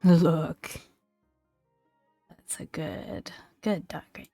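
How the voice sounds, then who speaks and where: A woman doing soft-spoken ASMR game commentary in a breathy, gentle near-whisper, murmuring along without hurry. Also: low voice, fairly even intonation, very slow, dry recording, soft background music. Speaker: video game content creator.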